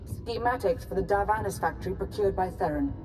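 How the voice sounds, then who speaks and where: A woman's voice: mid-range voice, brisk, natural voice, poor audio. A woman playing a composed, calculating intelligence advisor in a video game, formal and discreet. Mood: composed concern. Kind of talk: video game cutscene acting. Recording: music behind the voice.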